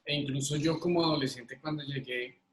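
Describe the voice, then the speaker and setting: A man in his thirties with a Colombian accent, telling personal stories casually and haltingly, often repeating words. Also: medium pitch, steady tone. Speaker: interview guest. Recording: roomy, echoing sound.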